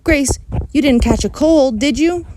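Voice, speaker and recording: Storybook kindergartner, acted by a woman: high, emphatic, excitable, blunt and bossy, with childish grammar. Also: a little slow, a little hiss or hum. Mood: urgent pleading. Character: excitable, bossy little kindergarten girl telling her story.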